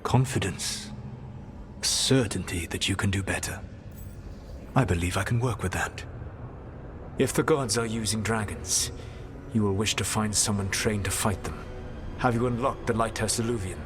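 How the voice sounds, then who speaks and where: Calm, cryptic male elven god for a fantasy game, played by a man; lowish, formal, measured, music behind. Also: lightly acted. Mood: calm, assured. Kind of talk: video game dialogue.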